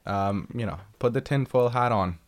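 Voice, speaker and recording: A man's voice: mid-range voice, normal speed. A man guesting on a podcast, explaining at length in casual chat, restarting sentences and leaning on fillers.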